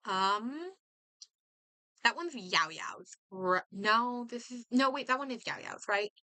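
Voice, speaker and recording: A woman doing casual game stream commentary, reading aloud and reacting in a halting, think-out-loud way. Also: a little high, animated, dry recording.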